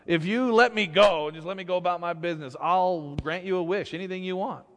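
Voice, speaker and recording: Magical fish for a folk tale, played by a man, easygoing and offhand, slightly high voice, long hall reverb. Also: fairly quick, a bit bright, slight background noise. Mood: breezy, friendly. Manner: breezy, obliging, offhand. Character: friendly, casual magical talking fish granting wishes.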